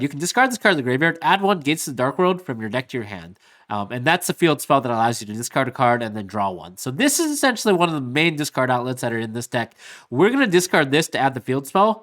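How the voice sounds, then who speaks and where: A man's voice: mid-range voice, a bit bright. A man hosting his own video, casually walking through a card-game deck with lots of "like" and "uh" fillers. He is sick and losing his voice, sniffling as he goes. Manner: rambling, many "like" and "uh" fillers, upbeat. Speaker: YouTube host.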